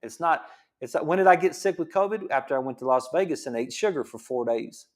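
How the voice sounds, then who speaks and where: A man's voice: a little high, expressive, quick pace. A middle-aged man hosting a health show as a chiropractor, talking casually and rambling with many restarts. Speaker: chiropractor host. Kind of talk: casual health talk monologue.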